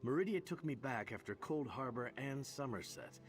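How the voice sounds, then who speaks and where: An actor playing a wry, roguish heroic knight in a fantasy game, with a conversational delivery. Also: male voice, mid-range voice, expressive, moderate pace, soft background music, lightly acted. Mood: earnest, uneasy. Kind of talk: scripted game dialogue.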